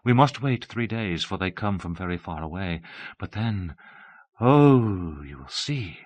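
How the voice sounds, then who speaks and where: A man voicing an old abbess in an audiobook, soft and breathless, with a dreamy, pleading, childlike innocence. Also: sits low, animated, a little slow, dry recording, pitched below the performer's own voice. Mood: dreamy, serene. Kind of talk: dramatic audiobook storytelling.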